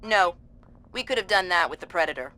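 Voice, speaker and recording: Sharp, bright-voiced mission officer. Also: female voice, lowish voice, lively intonation. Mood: focused. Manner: brisk, matter-of-fact. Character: businesslike intelligence officer briefing an agent. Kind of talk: video game voice acting.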